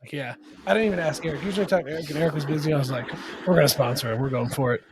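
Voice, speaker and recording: Enthusiastic, higher-voiced man guest. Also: racing, noisy background. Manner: enthusiastic, rambling storyteller. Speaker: sponsor guest. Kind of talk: casual chat.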